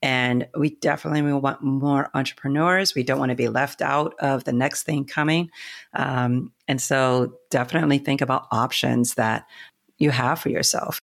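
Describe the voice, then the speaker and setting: A woman hosting a casual podcast interview, supportive and quick with affirmations. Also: rumbling low, steady tone, gravelly. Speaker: podcast host.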